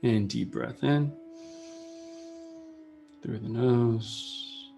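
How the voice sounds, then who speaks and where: A man leading a guided meditation, speaking in short unhurried phrases with pauses between them. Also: medium pitch, creaky. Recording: soft music behind the voice. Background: meditation music.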